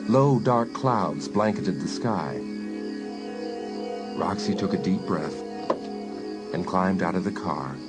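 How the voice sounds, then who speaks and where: A man narrating a teen thriller audiobook in a measured, dramatic, suspenseful style. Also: low voice. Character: male storyteller of a teen thriller. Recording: loud music behind narration.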